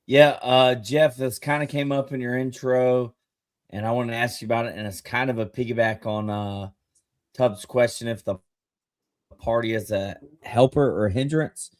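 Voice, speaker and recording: A man hosting a podcast, asking an interview question in a measured way. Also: mid-range voice, steady tone, no echo, studio quality. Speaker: podcast host.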